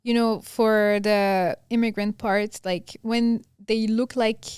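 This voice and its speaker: Podcast host, young woman from Morocco, relaxed, laughs often, clear voice, slightly high. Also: takes it slowly, dry recording, clean studio sound. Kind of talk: casual chat.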